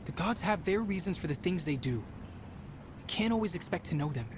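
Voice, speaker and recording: A man's voice: high-pitched, lively intonation, fairly quick, background noise. An actor playing an earnest, dutiful teenage Spartan boy in a video game, serious and formal, with music underneath. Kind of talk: dramatic video game acting.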